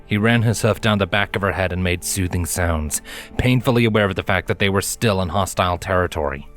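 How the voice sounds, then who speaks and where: Narrator: man, deep register, solemn measured storytelling, faint music underneath. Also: a bit bright. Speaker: fan fiction reader, narrator. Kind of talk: dramatic scripted narration. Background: background music.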